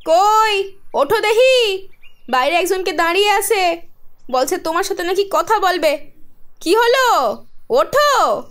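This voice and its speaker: Village wife for animated drama: high-pitched, very animated, scolding and resentful. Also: female voice, takes it slowly, pauses often, full character voice. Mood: brusque, irritated. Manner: scolding, grumbling. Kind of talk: dramatized animated horror story.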